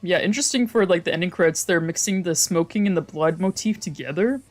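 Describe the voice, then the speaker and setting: A woman streamer playing a visual novel, mixing casual, slangy, expressive commentary with reading the game text aloud. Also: lowish voice, quick pace. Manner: expressive, reactive, exclamatory, slangy. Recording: music playing behind the voice.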